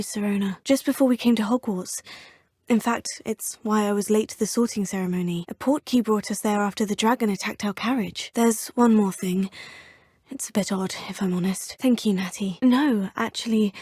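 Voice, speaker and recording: A woman's voice: medium pitch, moderate pace, a little mellow, husky, no echo, studio quality, lightly acted. A woman voicing a young witch student in a video game, speaking in a polite, formal and inquisitive way. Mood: earnest. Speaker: player character in a video game.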